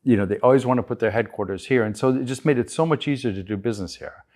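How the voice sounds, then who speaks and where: A middle-aged American man from Chicago, a guest telling his story in a relaxed interview, with many restarts. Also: medium pitch, very fast. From United States, Chicago. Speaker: guest entrepreneur. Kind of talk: conversational interview.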